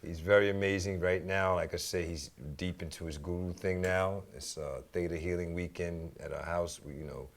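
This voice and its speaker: A man with a very low, gravelly voice and a New York accent. He talks casually as a guest in an interview, rambling along in slangy phrases and sprinkling in "you know" and "man". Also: fairly even intonation, moderate pace, hardly any pauses. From New York City. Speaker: DJ and music teacher, radio show guest.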